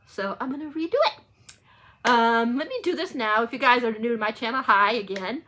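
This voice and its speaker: A woman's voice: fairly high pitch, animated, a little fast, sharp tone. A woman talking casually to viewers in a rambling, informal book vlog, often getting sidetracked. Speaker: book channel vlogger.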